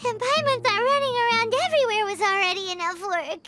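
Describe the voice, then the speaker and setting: A woman voicing a tiny, excitable, childlike fairy companion in a video game. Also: very high-pitched, fairly quick. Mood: grumbling, puzzled. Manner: refers to self in third person, exclaims. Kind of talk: video game cutscene acting. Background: music.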